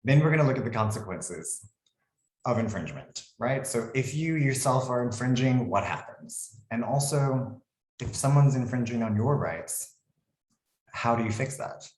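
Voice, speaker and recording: A man with an American accent, a lawyer presenting a webinar in a relaxed, conversational way. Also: medium pitch, moderate pace, roomy sound, low-quality recording. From United States. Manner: relaxed, conversational, frequent ums and "cool".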